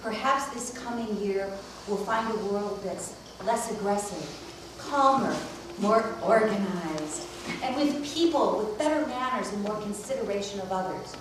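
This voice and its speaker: An earnest, well-meaning rabbit of the puppet stage, acted by a woman. The pitch is medium. The sound is distant and strongly reverberant, with clear noise behind it. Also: a little slow, close to an everyday voice. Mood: earnest, hopeful. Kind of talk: amateur puppet play acting. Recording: very echoey, distant, background noise.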